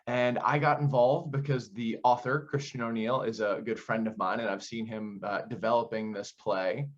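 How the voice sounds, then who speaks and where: A man's voice: medium pitch, fairly even intonation, moderate pace, highs cut off. A man giving conversational, explanatory interview answers as a theater director, often posing questions as he goes.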